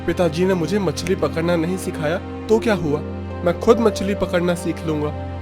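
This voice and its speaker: A man's voice: sits high, moderate pace, over music, natural voice. A man voicing a young fisherman's son in a cartoon story, emotional and pleading. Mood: reassuring.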